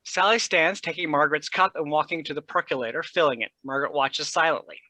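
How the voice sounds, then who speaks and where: Male stage directions reader: scripted narration, mid pitch, bright forward tone, dry close sound. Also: fast talker, no room sound.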